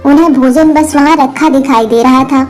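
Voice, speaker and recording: A woman's voice: high voice, steady tone, moderate pace, a little mellow. A woman narrating a scripted devotional moral story as its storyteller. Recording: music behind the voice.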